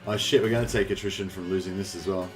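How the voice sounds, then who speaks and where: Low-voiced Australian man rambling on stream. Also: fairly even intonation. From New South Wales, Australia. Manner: rambling, halting, foul-mouthed. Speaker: game streamer. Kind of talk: casual live stream chat. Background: faint game music.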